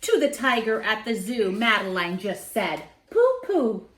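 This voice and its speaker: A woman reading a children's picture book aloud in an expressive, theatrical way, with dramatic pauses. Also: mid-range voice, slight background noise. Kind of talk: children's story read aloud. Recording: roomy echo.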